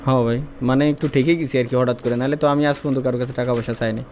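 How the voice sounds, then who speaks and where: A man's voice: mid-range voice, fairly even intonation, racing, a little mellow, a little hiss or hum. A young man with a Bangladeshi Bengali accent chatting casually on a livestream and repeating his phrases. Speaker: live streamer doing prank calls. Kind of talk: casual livestream chat and prank calling.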